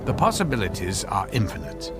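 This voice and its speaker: An actor playing an earnest, excitable middle-aged amateur scientist in a radio drama, explaining eagerly and stammering when flustered. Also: male voice, on the low side, brisk. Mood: earnest, intense. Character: earnest, excitable amateur physicist husband obsessed with his experiment. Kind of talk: modern radio drama acting. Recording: music behind the dialogue.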